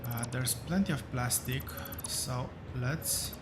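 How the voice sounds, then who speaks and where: A man with a rough voice, thinking aloud as he hosts a relaxed gameplay commentary, with many fillers and pauses. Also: medium pitch, noisy background. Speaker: gaming channel host.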